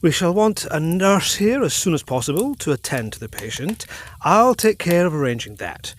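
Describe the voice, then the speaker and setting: A man's voice: on the high side, very animated, moderate pace, slight background noise. A man playing an earnest, authoritative Edwardian physician in a gothic audio drama, with formal, emphatic diction. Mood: grave, assured.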